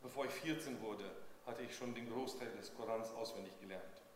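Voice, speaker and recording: A man's voice: on the low side, brisk. A man interpreting a sermon live, phrase by phrase, as a preacher's consecutive interpreter. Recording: noisy echoey hall with long reverb.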